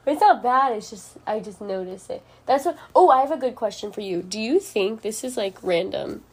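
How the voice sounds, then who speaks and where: A woman's voice: on the high side, animated, moderate pace, slightly rough. A young woman chatting casually in a bedroom, upbeat and filling her speech with "like". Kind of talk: casual chat.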